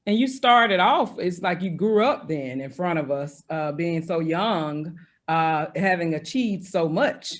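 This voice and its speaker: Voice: mid-range voice, lively intonation, measured pace. An interview host who affirms the guest often and speaks with hesitant "uh" and "um" fillers. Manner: warm-hearted, affirming, with many "mm-hmm" and "uh" fillers. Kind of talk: conversational interview.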